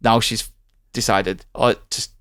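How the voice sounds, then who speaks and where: A man's voice: lowish voice, fairly even intonation, takes it slowly, a bit bright, clear, resonant tone, no room sound, clean studio sound. A man on a podcast, telling anecdotes in a casual conversational style. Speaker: podcast host.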